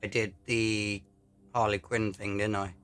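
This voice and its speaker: A man giving casual, low-key commentary on a video game as he plays, often muttering to himself. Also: level tone, unhurried, a bit bright, clear, resonant tone. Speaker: gaming YouTuber. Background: game music.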